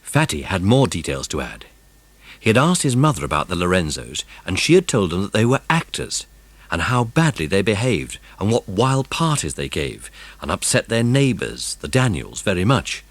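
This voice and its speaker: A man's voice: low voice, very animated, moderate pace, no echo. A man with a British accent narrating a children's audiobook as a scripted, dramatised story.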